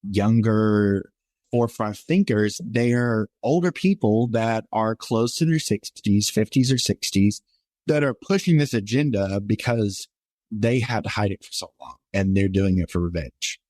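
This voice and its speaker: A man's voice: a little slow, darkish tone, no room sound. A man in his twenties, a podcast guest, telling his personal story in a rambling, earnest way full of fillers. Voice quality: somewhat high voice for a man.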